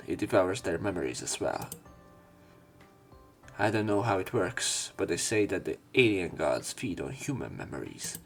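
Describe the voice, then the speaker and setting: A man's voice: sits low, creaky, slightly rough. A man hosting a visual novel let's play, reading the text aloud with casual asides and occasional self-corrections over game music.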